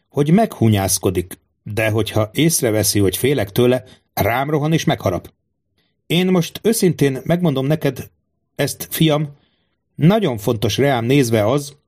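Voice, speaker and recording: A man's voice: mid-range voice, a little mellow, voice pushed up, natural voice. Man voicing a wily, suspicious, theatrical king. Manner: theatrical, musing, rhetorical. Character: wily, suspicious king, fatherly yet scheming.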